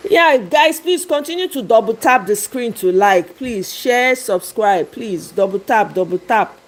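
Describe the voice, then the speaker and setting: High-pitched Nigerian woman live streamer. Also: lively intonation, a little slow. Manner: exclamatory, speaks in asides with bits of Pidgin. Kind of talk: casual live reaction commentary.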